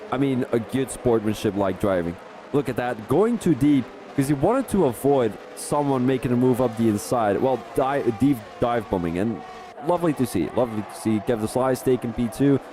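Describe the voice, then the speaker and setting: A man from Belgium, doing live race commentary for a sim racing league, rambling in chatty asides and rising to excited calls on overtakes. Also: mid-range voice, background noise.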